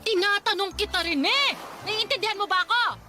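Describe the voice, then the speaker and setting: A woman's voice: very high voice, moderate pace, over music. A woman playing an angry, intolerant mother in a radio drama, scolding in exasperation with a Filipino accent. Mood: exasperated.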